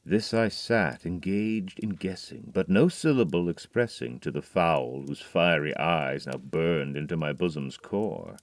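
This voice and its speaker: A man voice acting a grieving, haunted man in an audio drama, reading dramatic verse that swings from hushed brooding to loud, pleading outbursts. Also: deep voice, measured pace, few pauses, no echo.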